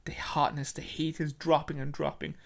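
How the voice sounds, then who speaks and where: Halting Irish narrator of unclear sex, reading a story. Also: medium pitch, sing-song, gravelly, in a whisper. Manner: halting, stumbling, self-correcting delivery. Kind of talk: narrating a short story with dialogue.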